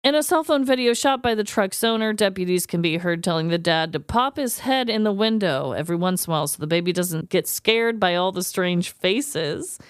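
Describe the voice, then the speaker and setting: A woman co-hosting a podcast in casual, comedic chat, animated and quick to laugh and swear. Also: lowish voice, smooth, dry recording, clean studio sound. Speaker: podcast co-host.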